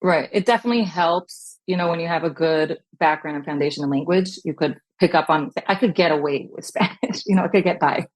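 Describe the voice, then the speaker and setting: A woman with a notably low voice, speaking as a guest in a casual interview. She is from California, spoke Hebrew before English, and looks back on her upbringing calmly, with plenty of "like" and "you know.". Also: a little fast, few pauses. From Long Beach, California. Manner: relaxed, reflective, many fillers.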